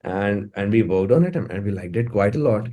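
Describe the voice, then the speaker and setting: Casting: Indian-accented man, low mellow voice, informal interviewee who doubles back on his words. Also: expressive, fairly quick. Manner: informal, stammering repetition of words as thoughts form. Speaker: musician and music producer being interviewed. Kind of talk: casual interview chat.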